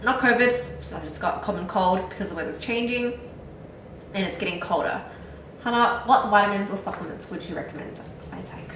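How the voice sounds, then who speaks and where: A woman hosting a casual health chat, upbeat and quick to agree. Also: medium pitch, fairly bright tone, slight background noise. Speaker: host, doctor. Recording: echoey room, mic at a distance.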